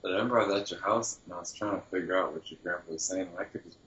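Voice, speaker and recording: Very deep-voiced, teasing, profane man. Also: racing, fairly bright tone, reverberant, highs cut off. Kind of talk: casual chat.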